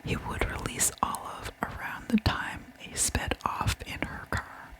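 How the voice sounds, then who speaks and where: A woman whispering a true crime story in ASMR style, with a soft, breathy, unvoiced delivery and deliberate storytelling. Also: poor audio.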